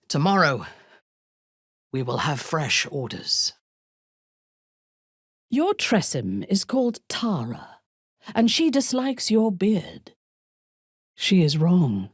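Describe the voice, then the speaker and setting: A woman playing a haughty, ruthless dark elf warrior in a fantasy video game, with an imperious, deliberate, formal delivery. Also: deep voice, husky, no room sound, studio quality, full character voice. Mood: dry, teasing.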